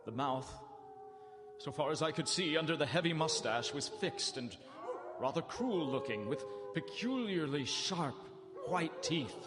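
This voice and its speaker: Anxious gothic narrator in echoing hall. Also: male voice, on the high side, expressive. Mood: uneasy. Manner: theatrical, halting, anxious repetition. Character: earnest, frightened Englishman held prisoner, narrating his journal. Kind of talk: live radio drama, dramatic first-person narration. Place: large hall stage, live broadcast. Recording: live theatre hall, long reverb.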